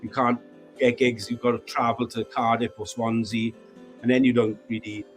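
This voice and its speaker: A man's voice: medium pitch, flat delivery, normal speed, faint music behind. A man from Wales with a Welsh accent, a band member talking earnestly as a guest in an interview. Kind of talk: casual interview chat.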